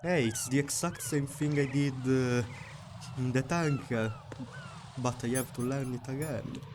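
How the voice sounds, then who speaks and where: A man's voice: medium pitch, unhurried, background noise. A man giving casual let's play commentary, muttering to himself as he goes. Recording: game music behind the voice.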